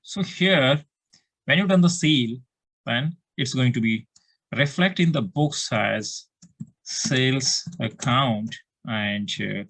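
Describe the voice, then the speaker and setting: A man's voice: mid-range voice, takes it slowly, a bit bright. A man with an Indian accent giving a step-by-step tutorial as an accounting instructor, asking questions and then answering them, often repeating himself.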